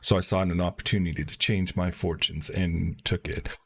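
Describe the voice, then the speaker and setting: A man reading out a guilt-ridden male soldier confessing to betrayal in a video game, in a slow, halting way. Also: low-pitched, steady tone, fairly bright tone, husky, heavily acted. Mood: remorseful. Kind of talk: reading game dialogue aloud on a stream.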